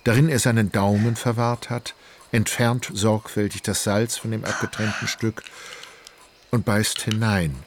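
A man's voice: fairly low pitch, fairly even intonation, unhurried, background noise. Male radio play narrator over ambience.

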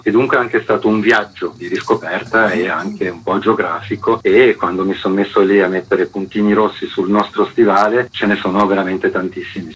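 A man's voice: on the low side, fairly bright tone, breathy edge. An older man from Genoa, a theatre author and actor, speaking earnestly and passionately as a guest in a radio interview. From Genoa, Italy. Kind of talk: radio interview, reflective storytelling. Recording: dull lo-fi radio broadcast.

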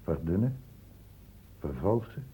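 An actor playing a worried, conscientious doctor in a radio drama, speaking in a grave, emphatic and humane manner. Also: male voice, low voice, big pitch swings, slow pace, warm tone, slightly rough, exaggerated voice. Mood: grave, concerned. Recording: muffled phone-like old radio recording.

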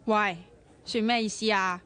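An actress playing a sharp-tongued, bossy, excitable young woman in a radio drama, chatty and expressive, with a Hong Kong Cantonese accent. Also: medium pitch, unhurried, lightly acted. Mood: persuasive, cheeky.

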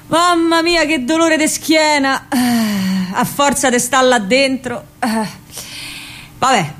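A woman's voice: on the high side, close to an everyday voice. An actress playing a feisty, tomboyish young partisan courier, telling her story straight to listeners in a chatty, colloquial Roman accent. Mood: flustered, achy, then chatty, amused. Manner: colloquial, brash, chatty, directly addressing listeners. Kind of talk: theatrical monologue in dialect, storytelling to listeners.

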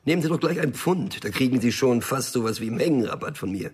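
An actor playing a cynical, nervy small-time cocaine dealer in a German crime radio drama, laconic and sardonic with slangy talk. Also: male voice, medium pitch, animated, a little fast, a little mellow, husky. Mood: agitated.

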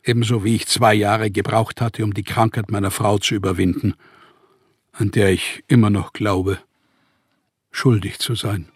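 A man's voice: lowish voice, fairly even intonation, moderate pace, breathy edge, dry recording. An actor playing a despairing, exhausted writer in exile, reading his letters in a radio play with weary, heavy diction. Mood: troubled, defensive.

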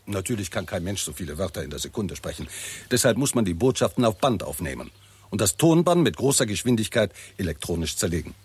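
A coolly scheming, self-assured astronomy professor in a 1960s radio play. The voice is slightly low and husky, with lively, varied pitch, and he lays things out in a precise, didactic way. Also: quick pace, heavily acted. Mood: lecturing. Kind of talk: 1960s German radio drama acting.

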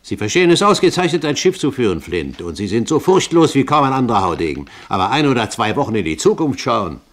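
A man plays a shrewd gentleman pirate in a 1970s radio drama. He speaks at a middling pitch, lofty and precise, with cool command and open condescension. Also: big pitch swings, quick pace, close to an everyday voice. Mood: persuasive, lofty. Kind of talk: German radio play acting, 1970s.

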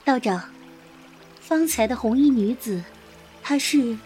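A woman's voice: fairly high pitch, lively intonation, slow pace, dark tone, faint music behind. A woman playing an anxious, devoted young wife of an ancient Chinese official in a period audio drama, speaking formally and emotively. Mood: subdued, puzzled. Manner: formal, archaic, emotive speech.

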